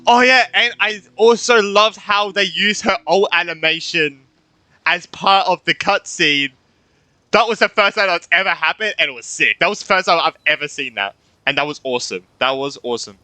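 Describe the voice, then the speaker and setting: A man's voice: sits high, moderate pace, pushed and bright, dry recording. A man giving casual, informal reactions as a gaming streamer, enthusiastic and often repeating his phrases. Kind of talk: casual game playthrough reactions.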